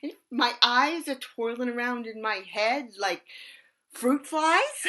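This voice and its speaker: An actress performing a stage monologue as an eccentric bag lady with a raspy voice, talking loudly and cackling, then dropping to hushed asides. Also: fairly high pitch, animated, slow pace, a little hiss or hum, heavily acted. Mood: brash. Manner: loud, cackling, swings between shouting and hushed asides.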